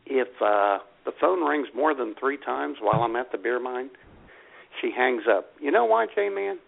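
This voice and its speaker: Casual podcast co-host, male, gravelly edge, phone-thin sound, animated storyteller. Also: mid-range voice, measured pace. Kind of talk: casual chat. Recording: tinny phone-like lo-fi audio.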